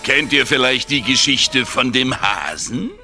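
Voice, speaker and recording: An actor playing a menacing, mocking, bossy devilish official with a creaky voice in a children's audio drama. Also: male voice, mid-range voice, animated, a little slow, over loud music, lightly acted. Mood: scornful. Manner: mocking, commanding. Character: menacing, scornful devilish male official bossing sorcerers.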